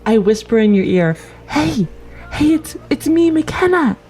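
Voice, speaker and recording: A woman's voice: high-pitched, very animated, measured pace, mellow. A woman playing in a tabletop roleplaying show, casually improvising in and out of character over light music.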